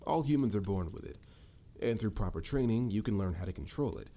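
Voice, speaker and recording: Man acting a deep, mellow, formal mage mentor. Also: expressive. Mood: instructive. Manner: formal, measured, lecturing. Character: stern, dryly exasperated adult male mage mentor. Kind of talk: scripted fantasy audio roleplay addressed to the listener.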